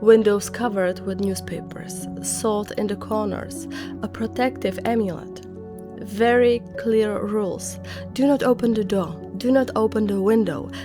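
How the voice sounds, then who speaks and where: A woman's voice: medium pitch, fairly even intonation, measured pace. A woman narrating scary stories conversationally as host, dropping dramatic short phrases and wry personal reactions. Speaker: narrator and host of horror legend videos. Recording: music bed under voice. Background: background music.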